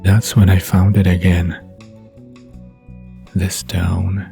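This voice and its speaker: A man playing a wistful, dreamy man recalling the past in a soft, murmuring bedtime drama monologue. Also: low-pitched, flat delivery, slow pace, gravelly, faint music behind, heavily acted. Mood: dreamy, haunted.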